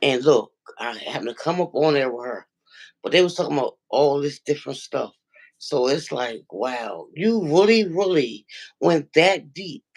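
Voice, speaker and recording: A man with a deep, nasal voice and a gravelly edge, speaking a little higher than most men. He tells an online story with energy and acts out each quoted line as he goes. His tone is casual and chatty. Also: animated, normal speed, poor audio. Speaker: regular viewer calling into a livestream. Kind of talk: casual chat, retelling an online argument.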